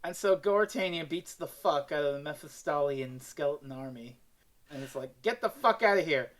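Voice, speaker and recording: A woman's voice: low voice, expressive, normal speed. A woman co-hosting a casual review chat, theatrical and sweary, acting out quotes as she goes. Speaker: podcast co-host.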